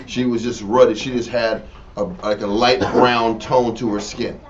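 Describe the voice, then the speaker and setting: A man's voice: mid-range voice, unhurried. A man leading a casual Bible study, talking in slang and acting out the scenes. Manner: animated, slangy, acts out scenes. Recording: distant lo-fi room recording with faint noise.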